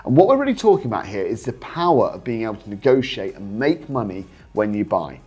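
British man, lively swooping delivery, slightly husky. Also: on the low side, brisk. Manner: persuasive, explanatory, rhetorical check-in questions. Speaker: host, property investing coach. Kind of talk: informal instructional talk to viewers.

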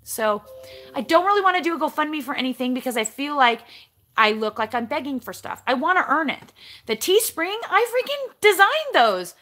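A woman in her forties with a raspy voice, chatting casually to the camera as she answers viewer comments and rants a little, recorded up close in her bedroom. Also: high-pitched, normal speed. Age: 40s. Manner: animated, ranty. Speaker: vlogger. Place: bedroom at home, indoors. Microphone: camera close in front.

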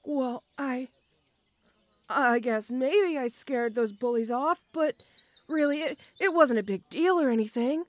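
Casting: female actor as timid, muscular orc schoolgirl for roleplay audio; high pitch, slow, stammering, apologetic delivery. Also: no room sound. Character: shy, awkward, muscular tomboy orc schoolgirl. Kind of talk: roleplay audio acting addressed to the listener.

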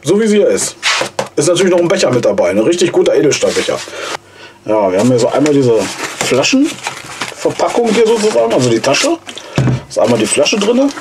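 A man in his forties, hoarse from a cold, casually and enthusiastically reviewing gear in a basement vlog. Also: on the high side, big pitch swings, moderate pace, pauses often, background noise. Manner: enthusiastic, chatty, slangy. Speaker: outdoor gear channel host. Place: cluttered basement storage room, indoors. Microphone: camera mic from a few steps away.

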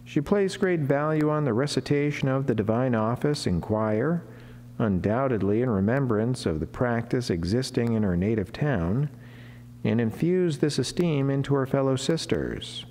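A man's voice: medium pitch, animated, a little mellow. Man reading solemnly in a reverberant chapel. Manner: formal, measured delivery with deliberate pauses. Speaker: Franciscan friar giving a daily saint reflection. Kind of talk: solemn read sermon, devotional reading. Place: wood-panelled chapel, indoors, at a lectern. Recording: long church reverb, clear background noise, hum.

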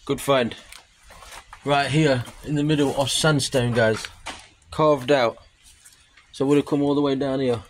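A man, chatting casually and excitedly to camera as an exploring vlogger. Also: medium pitch, measured pace, pauses often. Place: outdoors on streets and woods, later inside a tunnel. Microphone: handheld camera or phone at arm's length. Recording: noisy handheld recording on location.

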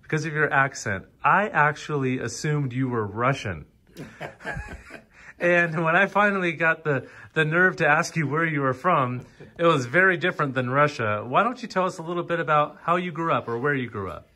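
A man's voice: a little high, moderate pace, bright, forceful tone. A man interviewing for a church, asking friendly, upbeat questions. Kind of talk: casual interview. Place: home, indoors.

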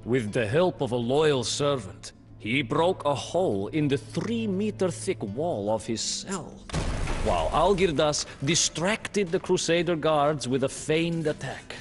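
A solemn male chronicler recounts old battles in unhurried, measured storybook narration. His delivery moves slowly, with a lively, rolling pitch. Soft music sits faintly beneath the studio voice. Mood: grave. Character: male captive chronicler telling a war tale. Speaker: campaign narrator.

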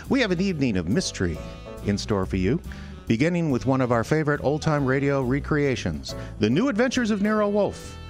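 A man hosting and narrating a radio theater show in a scripted announcer style. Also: medium pitch. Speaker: host and announcer.

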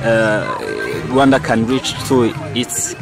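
Halting male interviewer, music behind. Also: medium pitch, fairly even intonation. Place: outdoors in a football stadium.

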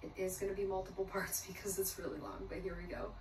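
A woman's voice: medium pitch, level tone, fast, a little mellow. Young woman, from the US, bubbly, extroverted, phone video, roomy, distant. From United States. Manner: bubbly and self-described extrovert. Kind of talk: personal storytelling. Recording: roomy distant phone clip with faint noise.

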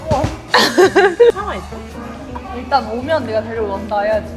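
A woman's voice: fairly high pitch, very animated, moderate pace, a crowd in the background. A woman from Seoul chatting casually and playfully with her friends, with a teasing manner. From Seoul, South Korea. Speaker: vlogger's friend. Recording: loud music over the voice. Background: loud music.

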